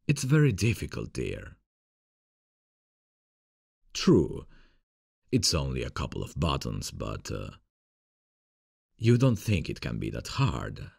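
Very deep, gravelly boyfriend played by a man. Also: huge pitch swings, slow, long pauses, a little mellow, no echo, clean studio sound, exaggerated voice. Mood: teasing, cocky. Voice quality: gravelly, husky low voice. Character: playful frustrated gamer boyfriend, grown man, cocky but affectionate. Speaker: voice actor. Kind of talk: intimate ASMR boyfriend roleplay.

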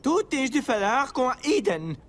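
A woman's voice: high voice, sing-song, unhurried, exaggerated voice. Woman doing a dramatic, affronted French performer. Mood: aghast. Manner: theatrical, affronted. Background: loud music.

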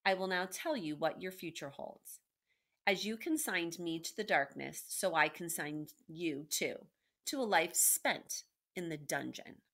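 A woman's voice: on the low side, unhurried, fairly bright tone. Woman putting on a pompous, scheming villain rat. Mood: gloating, menacing. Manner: pompous, formal, sneering speech. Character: scheming, haughty male rat villain, cruel and vengeful. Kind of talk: storybook read-aloud character voice.

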